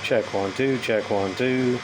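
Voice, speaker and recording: A man's voice: mid-range voice, steady tone, a little slow, background noise. A man giving a casual hands-on demonstration, talking in a plainspoken, folksy way with informal grammar. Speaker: hobbyist presenter demonstrating radio gear.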